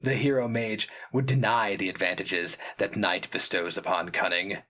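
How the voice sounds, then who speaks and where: A man giving a grave, measured, theatrical reading of epic fantasy as the narrator. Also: low-pitched, fairly even intonation, pushed and bright.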